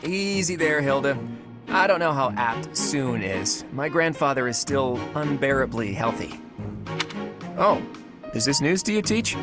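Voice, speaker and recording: A man's voice: mid-range voice, lively intonation, measured pace, over loud music, close to an everyday voice. A man playing a sly, easygoing young nobleman student in a video game, with a casual, teasing and secretive manner. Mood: breezy, teasing.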